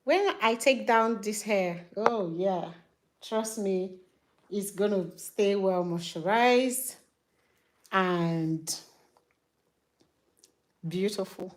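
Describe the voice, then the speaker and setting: A woman's voice: mid-range voice, drawn-out words, pauses often. A middle-aged woman with a Nigerian accent, chatting casually to viewers as she does her daughter's hair at home, laughing often. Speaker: hair care vlogger and mother. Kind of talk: casual tutorial chat to viewers.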